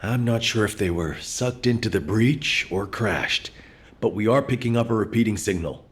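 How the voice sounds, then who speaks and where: Guy playing a stern tired military commander in a game, kinda low voice, terse and serious. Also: moderate pace, lightly acted. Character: grave, weary military executive officer under pressure. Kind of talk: video game dramatic acting.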